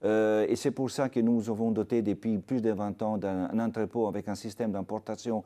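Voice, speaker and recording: An older man from Italy with an Italian accent, a restaurateur telling stories in a TV interview, halting with many fillers. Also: fairly low pitch, steady tone, dark tone. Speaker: restaurateur guest. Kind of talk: TV interview, anecdotal.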